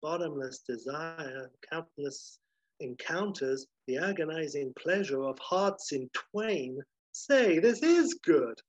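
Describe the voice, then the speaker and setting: A man voicing an earnest, wide-eyed cowboy sidekick in a comic story, with drawn-out, marvelling delivery. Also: high voice, big pitch swings, voice pushed up, exaggerated voice. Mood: amazed. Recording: roomy, dull lo-fi recording.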